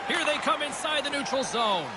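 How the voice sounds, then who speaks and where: A man calling hockey play-by-play in excited, clipped phrases, as a game commentator. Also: very high-pitched, fairly quick, over a noisy crowd, very noisy.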